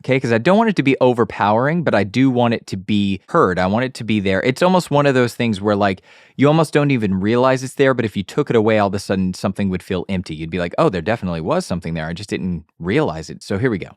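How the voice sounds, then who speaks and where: Male tutorial host, lowish voice, informal and explanatory, close dry studio sound. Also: expressive, fast, dry recording. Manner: informal, frequent check-in tags like okay and all right. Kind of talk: casual step-by-step tutorial talk. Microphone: close studio dynamic mic on an arm.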